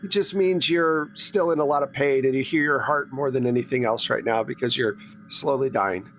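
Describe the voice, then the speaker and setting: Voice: mid-range voice, normal speed, a bit bright, low-quality recording. Casual game master, music behind. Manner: casual, conversational. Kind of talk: improvised tabletop horror game narration.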